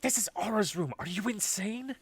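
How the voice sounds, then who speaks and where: An exasperated, sarcastic young man played by a male actor in an audio drama, leading a band of misfits. His voice is fairly high and bright, theatrical in delivery, with music underneath. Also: expressive, moderate pace. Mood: alarmed, sarcastic.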